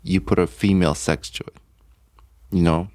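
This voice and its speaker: A man's voice: low-pitched, fairly even intonation, normal speed, darkish tone, no room sound, clean studio sound. A man whose first language is Armenian, co-hosting a casual beer podcast, laughing often and getting technical about words. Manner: giggly, nitpicking, laughs often. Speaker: podcast co-host. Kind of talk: casual chat over beers.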